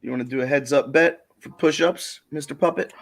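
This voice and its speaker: A man's voice: on the high side, a little fast. A man on a livestream panel, chatting casually and teasing the others. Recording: background room noise.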